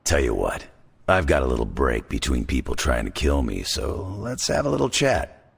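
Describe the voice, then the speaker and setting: A rugged middle-aged brawler in a game cutscene, speaking in an extremely low rumble full of gravel. He is gruff and sardonic, tossing off folksy, laconic remarks like a veteran of many fights. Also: big pitch swings, normal speed, full character voice. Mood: relaxed, wry. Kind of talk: video game cutscene dialogue.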